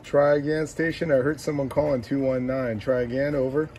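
A man working as a CB radio operator, calling out short call signs and repeating numbers deliberately. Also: medium pitch, flat delivery. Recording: noisy radio shack, distant mic, clicks.

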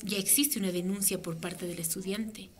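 A woman's voice: medium pitch, steady tone, moderate pace, close to an everyday voice. A woman playing a direct, earnest women's rights advocate in a radio drama, speaking insistently. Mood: firm, persuasive.

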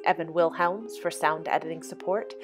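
A woman reads scripted broadcast announcements in a slightly low voice. Theme music plays clearly behind her. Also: moderate pace.

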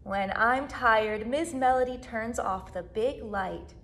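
A woman in her twenties, a children's music educator, reading a story aloud to young children with animated, sing-song delivery and drawn-out words. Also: fairly high pitch. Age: 20s. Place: small plain indoor room, seated.

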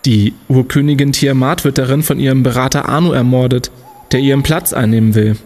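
An actor playing a clever, confident young student sleuth in a comic radio play, explaining things in a deliberate, declamatory way. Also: male voice, mid-range voice, fairly even intonation, a little mellow, close to an everyday voice. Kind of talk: German comedic audio drama acting.

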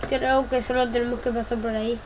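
A woman's voice: fairly high pitch, level tone, fairly quick, a little mellow, slight background noise, low-quality recording. A woman in casual chat while playing a video game with a partner, speaking in short, blunt, teasing remarks. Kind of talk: casual gaming chat with a co-player.

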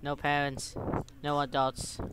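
A gaming streamer, giving exclamatory, halting English commentary and reading game text aloud. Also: medium pitch, monotone. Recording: clear background noise and clicks.

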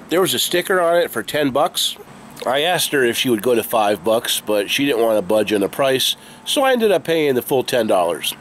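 An American man in his forties with a mid-pitched voice talks casually and plainly to his camera. He sounds like an everyday vlogger recording just outside a garage, with clear outdoor noise around him. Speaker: online reseller vlogger. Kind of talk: casual vlog talk to camera. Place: open garage doorway, outdoors.

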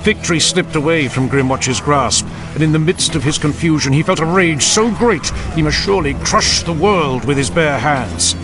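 A man's voice: a little high, animated, moderate pace, hardly any pauses. Grave male fantasy audiobook narrator. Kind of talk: dramatic scripted fantasy narration.

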